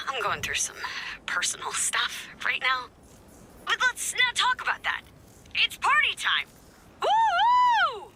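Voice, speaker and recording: A hopeful resistance leader from a video game. Her voice is high, very bright and animated, with an earnest, informal, rallying manner. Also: a little slow, whispered, heavily acted. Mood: weary. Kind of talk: scripted game character dialogue.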